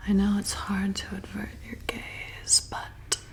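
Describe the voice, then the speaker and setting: Woman as a slow-whispering flirty vampire bartender. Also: medium pitch, completely flat delivery, lightly acted. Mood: hypnotic, soothing. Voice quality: breathy whisper with creaky edges. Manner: slow, soothing, intimate, coaxing. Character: flirty, seductive female vampire bartender, slyly predatory hypnotist. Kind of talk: whispered ASMR roleplay.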